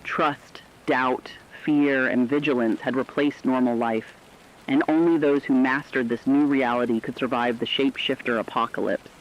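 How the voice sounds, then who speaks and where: Man, medium pitch, serious measured narration, dramatic documentary script, dull lo-fi recording, rain behind. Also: fairly even intonation.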